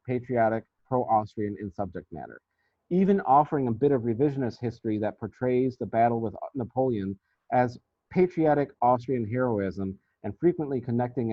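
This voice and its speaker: A man reading out a scripted academic paper as a researcher, in a formal, measured and deliberate manner. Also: mid-range voice, no echo. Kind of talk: scripted academic presentation, read aloud. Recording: thin, phone-like band-limited sound.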